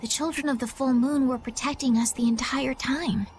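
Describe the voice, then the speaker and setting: A woman voicing a gentle, earnest and naive young noblewoman in an anime-style game, soft and sincere. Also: high voice, fairly even intonation, moderate pace, faint music behind. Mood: solemn.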